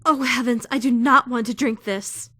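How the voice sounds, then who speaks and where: A woman's voice: a little high, moderate pace, bright, forceful tone, no room sound, studio quality. A woman playing a prim, bookish young student who is easily indignant, in a fan-dubbed visual novel with formal, theatrical delivery. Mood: disgusted. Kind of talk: first-person visual novel fan dub narration and dialogue. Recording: music behind the voice.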